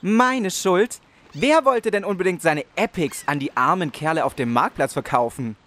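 A man's voice: high-pitched, lively intonation, moderate pace, fairly bright tone. A man playing a formal, wordy and easily indignant adventurer in a fantasy parody radio play. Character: courtly indignant male adventurer, tattling formally.

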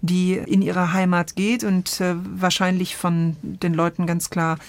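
A woman's voice: on the low side, level tone, unhurried. A middle-aged woman co-hosting a cultural podcast, speaking reflectively and searching for her words as she goes. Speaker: podcast host discussing radio plays. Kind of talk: reflective conversational discussion.

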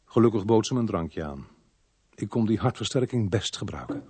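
An actor with a Netherlands Dutch accent playing a sober, dogged police inspector who narrates his own story in a measured, understated radio drama style. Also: fairly low pitch, animated, dark tone, slight background noise, close to an everyday voice. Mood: reflective, grave. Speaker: actor, lead role and narrator of a radio play.

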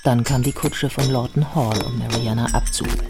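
An actress playing a composed, factual biographer, narrating a radio play in a measured, matter-of-fact way. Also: female voice, rumbling low, steady tone. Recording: studio radio play with music behind.

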